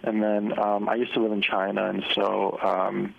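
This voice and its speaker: A middle-aged man with a low, gravelly voice talks as a guest on a radio show. His first language was Spanish, and he halts often with fillers and restarts. The broadcast sound is muffled and lo-fi. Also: monotone, normal speed. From United States. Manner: hesitant, many fillers and restarts. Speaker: business owner guest. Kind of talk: casual radio interview.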